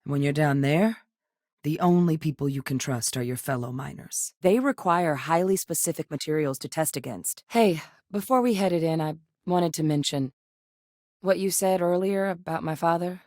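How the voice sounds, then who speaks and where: A woman voice actress playing a determined, principled soldier-scientist in a space adventure video game, speaking earnestly and conversationally with military briskness. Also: deep voice, darkish tone, no room sound, studio quality, natural voice. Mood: emotional, sincere. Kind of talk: acted video game dialogue.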